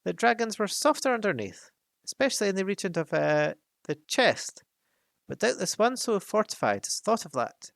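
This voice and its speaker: A man voicing a small, timid male hobbit who flatters nervously, in a frightened, squeaky voice. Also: normal speed, dry recording, studio quality. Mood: nervous flattery. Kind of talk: storybook reading.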